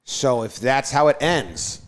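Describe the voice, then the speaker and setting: A young man co-hosting a relaxed sports chat, teasing his friends and reasoning out loud with frequent restarts. His voice sits at an ordinary mid pitch. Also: slow pace. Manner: teasing, thinks aloud with restarts. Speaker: podcast co-host.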